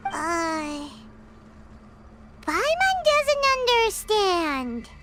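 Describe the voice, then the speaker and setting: A woman playing a tiny, naive, excitable fairy companion in an anime game, with a squeaky childlike voice. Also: very slow, over loud music, full character voice. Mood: uneasy, puzzled. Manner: third-person self-reference, exclamatory.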